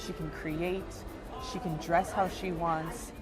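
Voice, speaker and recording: A woman's voice: fairly low pitch, level tone. Earnest woman over a music bed. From United States. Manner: earnest, thoughtful, speaks in short phrases with pauses. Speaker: interviewee. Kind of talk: reflective personal account.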